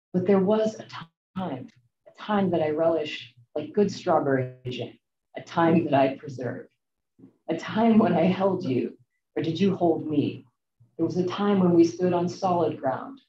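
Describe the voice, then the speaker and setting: Soft-spoken young woman reciting rhymed verse. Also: lowish voice. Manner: rhythmic, measured, soft. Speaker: poet reading. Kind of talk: poetry reading. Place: large room with seated audience. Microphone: video call, off to the side. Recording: distant muffled echoey room audio.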